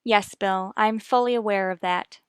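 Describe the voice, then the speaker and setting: A woman playing a worried, firm mother with a measured, formal manner in a radio soap opera. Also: medium pitch, steady tone, smooth, dry recording, clean studio sound, natural voice. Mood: composed.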